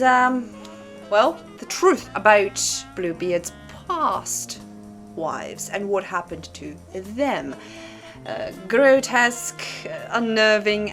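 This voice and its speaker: A woman's voice: mid-range voice, gravelly, a bit bright. A woman hosting a commentary on disturbing books, speaking in a dramatic, conversational way straight to the viewer with rhetorical questions. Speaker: host of a disturbing literature channel. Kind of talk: casual scripted commentary to camera.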